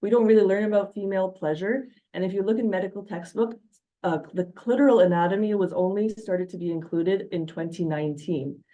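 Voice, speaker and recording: A woman's voice: mid-range voice, a little fast, mellow, lo-fi sound. A woman physician with a Canadian accent giving a frank, reassuring slide talk on health. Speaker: physician presenter. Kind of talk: educational slide presentation.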